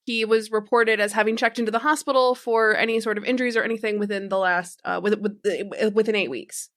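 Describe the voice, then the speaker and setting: A woman playing a tabletop game, casually thinking aloud with halting, repeated words. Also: mid-range voice, fairly even intonation, fairly bright tone.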